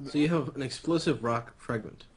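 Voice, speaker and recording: A man's voice: medium pitch, a little fast, breathy edge. A man in casual gameplay banter, bluntly asking quizzical questions as a co-commentator.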